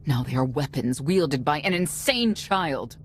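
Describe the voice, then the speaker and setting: An actress playing a proud, dutiful older warrior knight in a video game, solemn and earnest. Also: female voice, low-pitched, animated, a little slow, a little hiss or hum. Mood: bitter regret.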